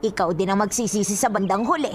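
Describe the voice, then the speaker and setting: A woman's voice: medium pitch, fast talker, soft background music, lightly acted. An actress playing a kindly, teasing elderly grandmother in a radio drama, with a Filipino accent and a fond, chatty manner.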